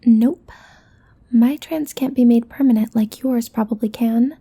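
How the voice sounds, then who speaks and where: Succubus demon played by a woman: seductive, possessive, teasing, husky breath, higher-pitched, ASMR roleplay intimacy. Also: steady tone, a little slow, warm tone. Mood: smug, possessive. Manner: breathy, often whispered, teasing delivery.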